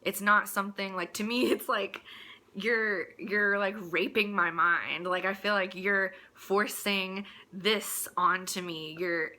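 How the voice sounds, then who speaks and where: A woman's voice: mid-range voice, fairly even intonation, slow, pushed and bright. A woman in a casual, opinionated rant, speaking in a blunt, crude and exasperated manner with mocking asides. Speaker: host delivering a personal rant.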